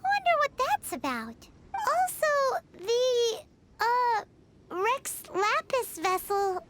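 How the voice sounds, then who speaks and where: A woman voicing a small, childlike fairy companion in a video game, chatty, naive and excitable, with a squeaky voice. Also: drawn-out words, very noisy, heavily acted. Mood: curious, uneasy. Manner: exclamatory, refers to self in third person. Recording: loud background music.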